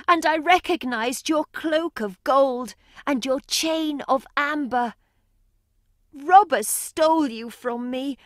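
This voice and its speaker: A woman's voice: high-pitched, no room sound, clean studio sound. A woman voicing a poor beggar mother in a storybook audiobook, pleading and tearful. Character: grieving beggar mother, pleading, long-suffering. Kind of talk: audiobook character acting.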